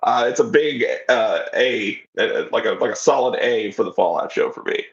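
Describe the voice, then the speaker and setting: A man's voice: lowish voice, expressive, normal speed, creaky. A man hosting a podcast in a casual, conversational style, on a slightly dull recording. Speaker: podcast host. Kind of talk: casual chat.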